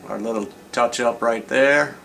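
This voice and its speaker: A man's voice: medium pitch, measured pace, vocal fry, a bit bright. A man giving a casual hands-on repair demonstration in a plain-spoken, folksy way, as the host of a repair video. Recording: distant microphone, music playing.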